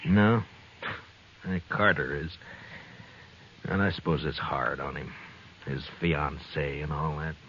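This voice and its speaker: Casting: weary American frontier marshal, deep bass, understated old-radio western delivery, dull recording. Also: male voice, fairly even intonation, slow pace, pauses often, a little hiss or hum. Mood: dry, resigned. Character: weary, gruff frontier marshal of Dodge, adult man. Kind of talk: 1950s radio western acting with first-person narration. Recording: muffled old radio broadcast, music behind.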